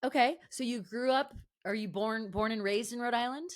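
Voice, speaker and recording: A woman's voice: on the high side, normal speed. A woman hosting a podcast interview, talking casually with many fillers like "like" and "you know". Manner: chatty, warm filler-heavy, lots of "like". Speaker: podcast host.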